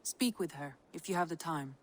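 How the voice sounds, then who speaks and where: Low-voiced, composed Viking advisor played by a woman. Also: heavy background noise, natural voice. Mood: calm, advising. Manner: measured, knowing. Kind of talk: video game cutscene acting.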